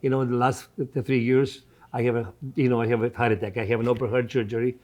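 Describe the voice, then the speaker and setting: An older man in his seventies with a Greek accent and a soft, mellow tone at a middle pitch. He is a restaurant owner answering interview questions in non-native English. He talks conversationally and tends to repeat his phrases. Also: level tone, quick pace. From Greece. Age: 70s.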